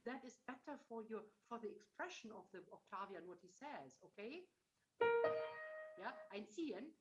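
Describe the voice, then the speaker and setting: A woman's voice: medium pitch, lively intonation, moderate pace, dull audio, music in the background. A middle-aged woman coaching a singer over a video call, sometimes singing phrases to show them and calling the singer "darling.". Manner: demonstrates phrases by singing, then explains warmly with terms like "darling". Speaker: voice teacher. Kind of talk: masterclass coaching.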